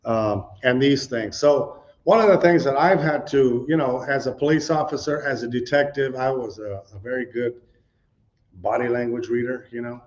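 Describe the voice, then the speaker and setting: A man's voice: medium pitch, moderate pace, darkish tone. A middle-aged man preaching in a loose, conversational way, often saying "you know" and asking his audience questions. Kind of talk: conversational teaching sermon with slides. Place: church meeting room with a responding audience. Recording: dull lo-fi recording.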